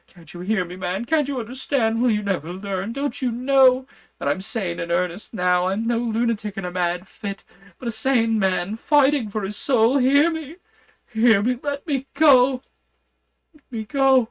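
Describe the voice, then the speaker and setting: A man voicing a frantic asylum inmate who begs desperately, in a high, strained voice. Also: unhurried. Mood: desperate pleading. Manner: desperate, high-pitched pleading.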